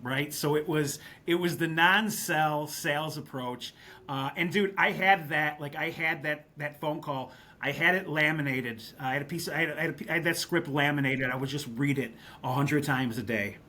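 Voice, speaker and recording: A man from western New York recounts his early career as a podcast guest in a candid, anecdotal way. His voice sits a little higher than most men's, and he keeps tossing in quick "right?" checks as he talks. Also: fairly even intonation, pushed and bright. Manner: candid, anecdotal storytelling with frequent "right?" asides. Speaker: guest, real estate business leader. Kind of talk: podcast interview storytelling.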